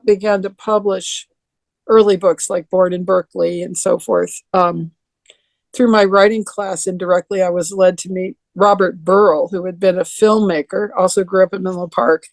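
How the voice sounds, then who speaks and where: Older woman, deeper voice, rambling video-call interview guest, dry close sound. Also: steady tone, moderate pace, a little mellow, no room sound. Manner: rambling, many restarts and ums. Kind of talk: relaxed reminiscing over a video call.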